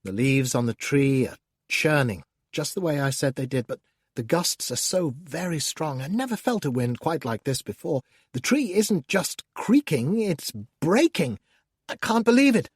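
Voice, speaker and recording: A man narrating an audiobook as a scornful, menacing male demon who taunts the reader, theatrical and swinging between hushed and loud. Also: medium pitch, expressive, moderate pace, dry recording, studio quality, natural voice. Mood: mocking. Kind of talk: first-person audiobook narration.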